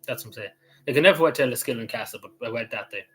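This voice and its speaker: A man's voice: medium pitch, racing, fairly bright tone. An Irish man chatting casually on a livestream, answering his viewers in a rambling way, full of "you know" and restarts. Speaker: YouTuber answering live chat.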